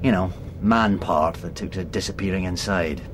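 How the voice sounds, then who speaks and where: An actor playing a sarcastic, exasperated middle-aged father with an excitable manner in a comedy drama. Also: deep voice, normal speed, close to an everyday voice. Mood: wry. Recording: clear background noise.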